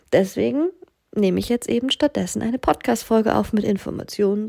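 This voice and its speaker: Woman, 30s, podcast host with a cold: fry-laden, hoarse, reflective, phone recording. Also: mid-range voice, dark tone. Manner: thoughtful, at times breathy and whispery. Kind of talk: casual reflective podcast monologue.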